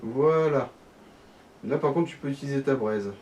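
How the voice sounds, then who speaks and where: A man's voice: mid-range voice, moderate pace, darkish tone, a little hiss or hum. A man chatting casually as co-commentator on a let's play, giving game advice.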